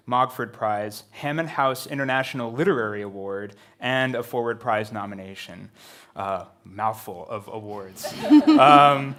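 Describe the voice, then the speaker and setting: A man hosts a book presentation and reads out a long introduction for a poet. He stumbles along with frequent ums and uhs, his voice sitting at an ordinary, middle pitch. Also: a bit bright. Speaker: event host introducing a poet. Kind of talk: reading a guest introduction.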